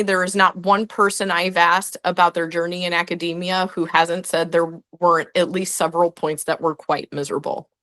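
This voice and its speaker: A woman's voice: on the low side, a bit bright. A young woman, a guest historian, answering interview questions in a chatty way full of "like" and restarts.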